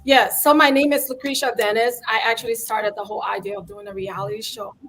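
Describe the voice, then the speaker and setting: A woman's voice: on the high side, steady tone, fast, fairly bright tone, slight background noise. A woman speaking conversationally as a guest on a talk show, introducing herself and her work. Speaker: guest, reality show creator. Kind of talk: conversational interview answers.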